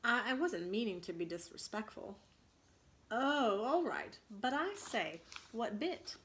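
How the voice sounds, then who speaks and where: An awestruck young English boy from a storybook, acted out by a woman. He is eager and old-fashioned in his politeness, speaking at an unhurried pace. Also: fairly high pitch, big pitch swings, fairly bright tone, slight background noise, heavily acted. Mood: curious, nervous. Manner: husky whispers when nervous, old-fashioned politeness.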